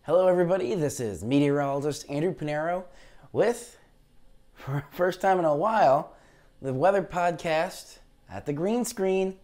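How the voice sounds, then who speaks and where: A man with a tired, low voice after heavy talking, hosting a live weather stream in a chatty, rambling way, talking into a lavalier mic. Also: normal speed, darkish tone. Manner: chatty, rambling, unsure asides. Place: green screen studio, indoors. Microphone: lavalier clip mic.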